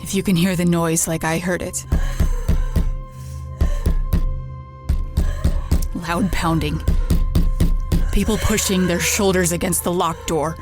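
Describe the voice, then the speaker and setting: An actress playing a haunted woman in an audio drama, confiding a ghost story in an anxious, halting, repetitive way. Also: on the low side, steady tone, loud music behind the voice, close to an everyday voice. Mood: eerie, intent.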